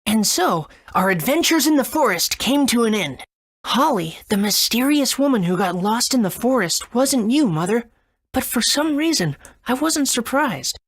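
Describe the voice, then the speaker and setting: Lively young boy hero Max. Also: a little high, moderate pace, husky. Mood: reflective. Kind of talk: video game character acting and narration.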